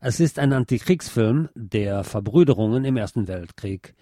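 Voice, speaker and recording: Mellow-voiced man presenting a film review. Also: mid-range voice, expressive, moderate pace, no room sound, clean studio sound. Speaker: radio show host. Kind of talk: film review, semi-scripted narration.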